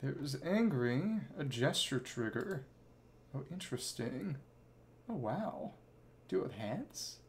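A man's voice: mid-range voice, big pitch swings, slow, a little hiss or hum. A man giving casual livestream commentary on a game, thinking aloud in clipped fragments and reading bits of dialogue. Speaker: livestreamer, virtual avatar host.

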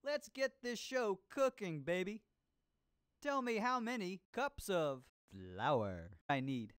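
A man playing a groovy, hammy disco-era TV cooking show host in an educational game, using showy slang and drawn-out words. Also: high-pitched, sing-song, clean tone, heavily acted. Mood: groovy, upbeat. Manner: hammy, drawn-out showman delivery. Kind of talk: exuberant 1970s disco slang show hosting. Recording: loud music under the voice.

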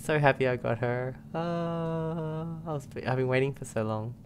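A young man giving casual, relaxed playthrough commentary, musing aloud as he plays. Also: medium pitch, moderate pace, a little hiss or hum. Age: young adult. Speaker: game streamer. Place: small room indoors.

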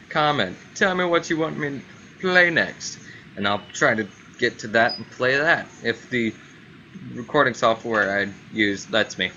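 A man's voice: medium pitch, pushed and bright, lo-fi sound, a little hiss or hum. A man hosting a gaming video with casual, offhand commentary on what he is playing.

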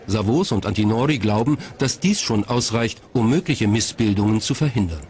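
A man's voice: fairly low pitch, lively intonation, moderate pace, darkish tone, a little hiss or hum. A man reading scripted documentary narration as the narrator. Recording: music behind the voice. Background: background music.

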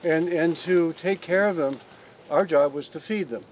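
A man's voice: on the high side, moderate pace, slight background noise. An older man giving a casual walking tour of his farm outdoors, talking to a group with many restarts and fillers. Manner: rambling, frequent restarts and fillers. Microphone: camera among a standing group.

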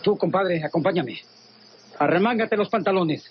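Guy playing bossy country man giving orders, mexican, high voice, muffled old radio play. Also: moderate pace, noisy background, close to an everyday voice. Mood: commanding. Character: brisk, commanding rural Mexican man leading companions. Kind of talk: radio drama acting. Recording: muffled radio drama under loud ambience.

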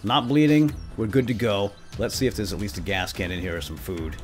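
A man hosting gameplay with excitable role-play commentary, playful and given to exclamations and quick bursts of repeated words. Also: on the low side, faint music behind. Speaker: gaming video host.

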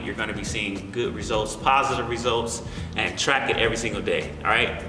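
A man's voice: medium pitch, background noise. A young American man giving informal, encouraging fitness instruction to camera in a gym, often checking in with the listener. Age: young adult. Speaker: fitness coach and video host. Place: large indoor gym. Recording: echoey, reverberant room with music behind. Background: music.

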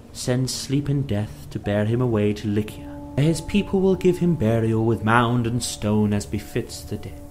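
A man voicing a regal goddess queen in an epic tale, reproving and deliberate. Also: mid-range voice, darkish tone, slight background noise, voice pushed up, close to an everyday voice. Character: poised, chiding queen goddess, wife of the sky god.

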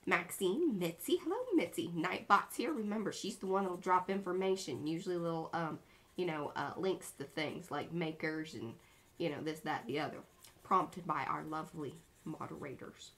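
A woman's voice: on the low side, moderate pace, fairly bright tone. A woman in her thirties, co-hosting an art livestream from a small home studio, chatting bubbly and playfully as she greets viewers by name.

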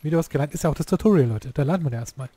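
Hoarse excitable gamer guy. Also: fairly high pitch, rapid-fire. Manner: excitable, swears, exclaims at the game. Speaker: let's play youtuber. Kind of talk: casual gameplay commentary.

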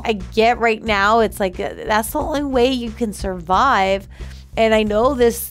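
A woman in her thirties giving casual reactions as a show co-host, speaking into a headset mic. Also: medium pitch. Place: small indoor studio.

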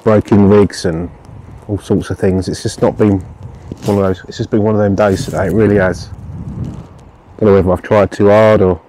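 A man's voice: lowish voice, fairly even intonation, moderate pace, poor audio. A man in his thirties gives casual, self-deprecating running commentary to the camera while fishing at a lakeside. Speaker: match angler and channel host. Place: outdoors at a lakeside fishery, breezy countryside. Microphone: action camera mounted beside him. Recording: loud wind and outdoor noise on a camera mic.

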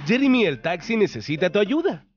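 A man's voice: high voice, big pitch swings, a little fast, dull audio, a little hiss or hum. A man narrating a preschool cartoon, talking to the characters with encouraging exclamations and questions.

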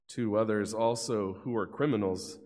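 A man's voice: fairly low pitch, a little mellow. A middle-aged man giving a formal, solemn narration of scripture at a church lectern. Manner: formal, deliberate phrasing. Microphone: gooseneck lectern microphone. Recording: long church reverb.

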